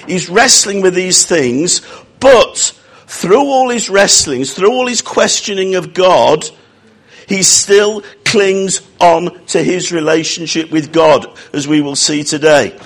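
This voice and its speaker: A man preaching a sermon, croaky from a cold, with jokey, self-mocking asides. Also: high voice, slow pace.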